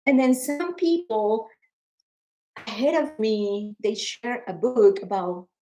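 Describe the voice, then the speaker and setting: Animated woman in her forties vlogging. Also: a little high, takes it slowly, slight background noise, low-quality recording. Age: 40s. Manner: emotional, repeats words, animated when frustrated. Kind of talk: personal vlog talking to camera.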